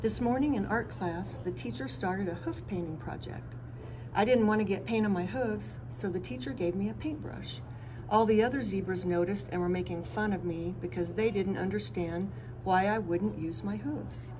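A woman in her fifties reads a children's story at a medium pitch. Noticeable classroom noise is heard behind her. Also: normal speed. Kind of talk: reading a children's story aloud. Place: block-walled classroom, indoors. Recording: clear background noise in classroom.

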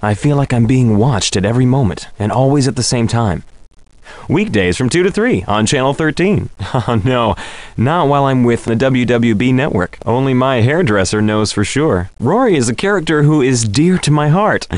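A man's voice: mid-range voice, lively intonation, normal speed, darkish tone, dry recording, close to an everyday voice. Man playing a suave soap star, dry recording. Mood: flirtatious. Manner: theatrical, flowery. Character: suave, flirtatious male soap opera star. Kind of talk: video game voice acting.

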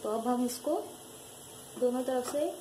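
A woman with a speech hosting a home cooking tutorial, calmly walking viewers through each step in her kitchen. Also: a little high, a little slow. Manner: calm, instructive, addresses viewers directly. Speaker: cooking channel host. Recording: noisy home recording with background ambience.

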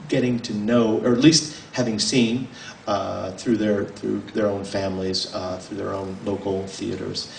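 A man in his forties, an author, giving a casual, halting talk with many fillers and restarts to a small audience in a library. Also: lowish voice, vocal fry, slight background noise. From United States. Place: library room, indoors. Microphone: table mic on a stand, filmed from a distance. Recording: roomy, mic at a distance.

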